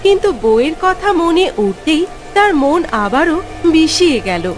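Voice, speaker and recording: A woman's voice: extremely high pitch, sing-song, moderate pace. A woman reading scripted narration for a cartoon moral story. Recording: music behind the voice.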